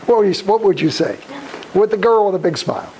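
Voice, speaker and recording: Older man, deliberate speaker, noisy recording. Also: sits high, big pitch swings, darkish tone. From Krakow, Poland. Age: over 75. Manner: deliberate, halting phrases with rhetorical questions. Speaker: guest speaker, Holocaust survivor. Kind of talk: public talk with questions to the listeners. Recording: noisy live room recording.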